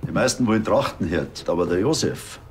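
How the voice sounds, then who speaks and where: A man's voice: sits low, expressive, brisk. A man playing a plain-spoken small-town hat maker in a TV crime comedy, with a Bavarian accent and a matter-of-fact, colloquial manner. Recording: some background ambient noise.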